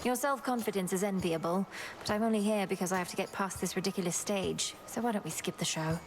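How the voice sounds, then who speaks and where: A woman's voice: mid-range voice, fast, slight background noise, close to an everyday voice. An actress playing a determined young goddess witch in a fantasy video game, speaking in a serious, precise, formal way. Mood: dry, impatient.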